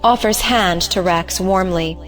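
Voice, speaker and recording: A woman's voice: fairly low pitch, measured pace, faint music behind. A woman narrating the stage directions of an audio play in a scripted reading style.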